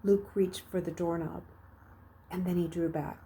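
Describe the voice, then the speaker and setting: A woman reading a children's novel aloud as a storyteller, narrating expressively, with emphatic swells and hushed phrases. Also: on the low side, steady tone, normal speed, slight background noise.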